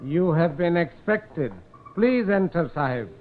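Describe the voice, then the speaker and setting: An actor playing the solemn, formal manservant of a phony mystic in an old radio drama, ceremonious and faintly ominous. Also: male voice, on the high side, lively intonation, measured pace. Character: solemn, servile male attendant of a phony mystic. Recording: noisy, tinny old radio recording.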